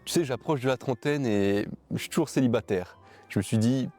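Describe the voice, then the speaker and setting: A man's voice: mid-range voice, a little fast, darkish tone, soft background music, natural voice. A man playing an earnest, lovelorn bachelor nearing thirty in a scripted comic skit. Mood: earnest, sheepish.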